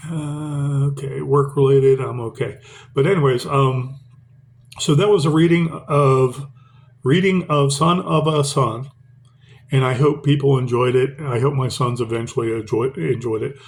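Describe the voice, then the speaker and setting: A middle-aged man reads his own poem aloud, then talks to the camera about poetry in a rambling way, full of pauses and fillers. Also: medium pitch, vocal fry. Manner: rambling, with many fillers and restarts. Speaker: poet reading his own work. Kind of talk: poetry reading and casual talk to camera.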